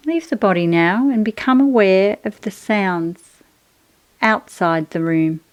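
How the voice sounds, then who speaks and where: A woman leads a guided yoga relaxation in a soothing, measured voice of medium pitch. She gives gentle instructions phrase by phrase, leaving pauses between them. The sound is close and dry, with very little room around it. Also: dry recording.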